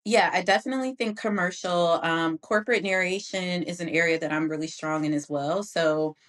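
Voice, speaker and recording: A woman with a somewhat low-pitched voice. She speaks as a guest in a casual, conversational interview. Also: a little fast, a bit bright. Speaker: guest, aspiring voice actor.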